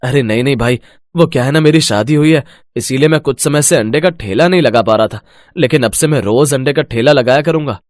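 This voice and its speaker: A man's voice: mid-range voice, brisk, no echo, studio quality, natural voice. A man playing an earnest, plain-spoken young husband who sells food from a cart in a moral story. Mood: reassuring.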